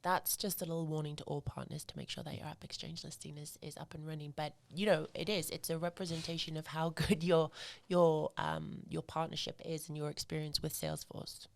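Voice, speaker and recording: A woman's voice: deep voice, level tone, a little fast, creaky, hardly any pauses. A woman from Zimbabwe, in her thirties, chatting casually as a podcast guest, full of fillers like "like" and "you know what I mean". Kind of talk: casual interview chat.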